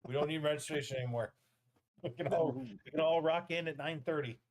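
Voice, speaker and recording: A man's voice: a little high, fairly quick, crowd noise. A man in his thirties from Canada, chatting casually as a panelist on a podcast. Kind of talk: casual chat.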